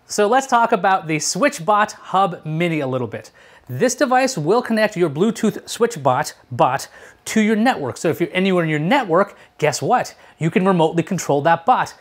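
A man's voice: high-pitched, sing-song, moderate pace. A man in his thirties hosting a casual tech review to camera, chatty and explanatory, often asking rhetorical questions. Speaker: tech show host. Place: small furnished room at home, indoors.

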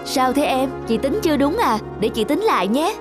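A woman playing a kindly, easygoing shopkeeper with a friendly, reassuring manner in an animated story. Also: high-pitched, moderate pace, over music. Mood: friendly, helpful. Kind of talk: animated moral story dialogue.

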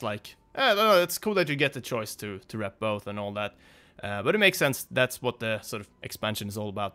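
A man's voice: mid-range voice, bright, forceful tone. A young man hosting a gaming video, talking off the cuff in a casual, hesitant, rambling and apologetic way.

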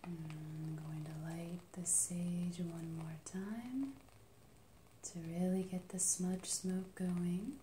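A woman in her thirties leading an ASMR reiki session in a small treatment room, whispering soft, slow, soothing affirmations from a distance to the camera. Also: on the low side, fairly even intonation, echoey room, slight background noise. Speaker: reiki practitioner. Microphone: far camera.

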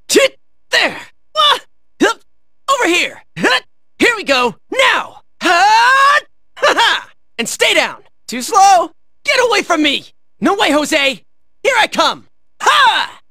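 An American man playing a cocky, excitable teenage boy in a video game, barking out brash, high-strung battle lines. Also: dry recording, clean studio sound, heavily acted.

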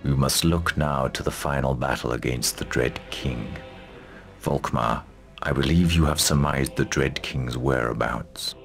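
A grave emperor in a fantasy game. His voice is extremely deep and creaky, his delivery stately, with music clearly behind. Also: a bit bright, full character voice. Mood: solemn. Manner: stately, measured, formal. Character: grave, regal male emperor addressing his commander. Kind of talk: fantasy game cutscene acting.

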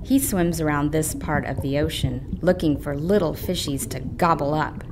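A woman voicing a careful, earnest little fish with a deliberate delivery in a children's puppet show. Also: sits low, noisy background, pitched below the performer's own voice, close to an everyday voice. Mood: worried, earnest.

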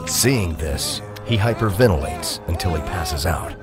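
A man's voice: deep voice, lively intonation, unhurried. A man narrating an audio drama in an intense, portentous storytelling manner. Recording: loud cinematic music under narration. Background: loud dramatic music.

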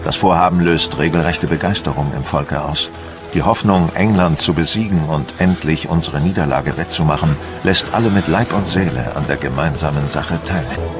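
A man's voice: very deep voice, normal speed. An actor playing a Frenchman of Napoleon's time, narrating a scripted historical documentary. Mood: measured, earnest. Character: Frenchman of Napoleon's time recounting invasion preparations. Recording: music behind the voice.

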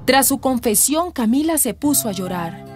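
A woman's voice: high-pitched, animated, moderate pace, loud music behind the voice. A woman with a Colombian accent narrating a scripted radio drama.

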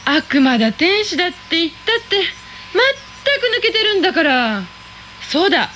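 A woman playing a sassy high-voiced schoolgirl. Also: unhurried, slight background noise, heavily acted. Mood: cheeky. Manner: chatty, lively, sassy. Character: cheeky teenage high-school girl, quick-witted. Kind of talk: radio drama acting.

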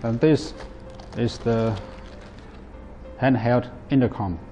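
A man in his thirties with a soft, dark-toned voice presents a product in non-native English. His delivery is plain and halting as he explains step by step, with faint music behind him. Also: mid-range voice, highs cut off. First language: not English. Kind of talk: product demonstration. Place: indoor office at a table.

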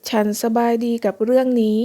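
Woman as a soft-toned, graceful older sister. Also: a little fast, natural voice. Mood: gentle. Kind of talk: comic read-aloud dialogue.

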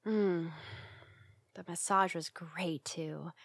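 A woman playing a bashful, secretly affectionate young tsundere roommate in an ASMR roleplay, speaking in a halting, flustered way with sighs. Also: lowish voice, steady tone, creaky, husky, dry recording, clean studio sound, heavily acted. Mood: tender.

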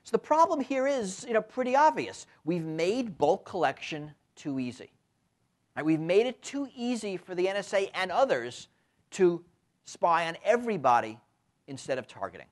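A man in his fifties giving a university lecture, speaking emphatically and often tagging his points with a quick question, recorded on a lapel mic in a lecture room. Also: sits high. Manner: frequent tag questions, lively emphatic delivery. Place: lecture room with window blinds.

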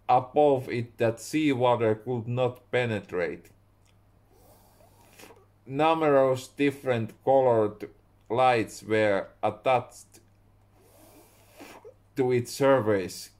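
A young man with a Finnish accent, a native Finnish speaker, slowly reading his own story aloud at home and stopping to correct his words. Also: mid-range voice, darkish tone. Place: small room indoors at home.

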